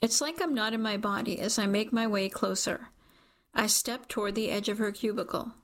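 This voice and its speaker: A woman's voice: medium pitch, normal speed. A woman narrating first-person fiction as an audiobook reader, in a scripted storytelling style.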